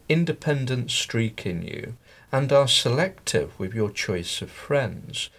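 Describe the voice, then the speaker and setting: A man reads scripted lesson passages aloud in a lowish voice. He takes his time over each phrase, and his pitch swings widely for emphasis. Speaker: reader of English learning texts. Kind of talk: scripted reading of model texts.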